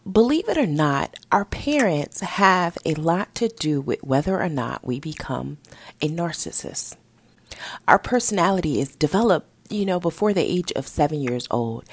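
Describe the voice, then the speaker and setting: A woman hosting a mental health podcast, a little raspy and nasal from a head cold, teaching in an upbeat, encouraging way. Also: deep voice, no room sound. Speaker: podcast host. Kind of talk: podcast education talk.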